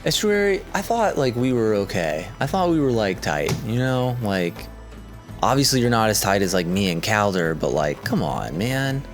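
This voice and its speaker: A man voicing an insecure, earnest young male soldier who talks in halting, self-doubting rambles. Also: on the low side, sing-song, music in the background. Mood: pleading. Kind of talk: in-character tabletop roleplay.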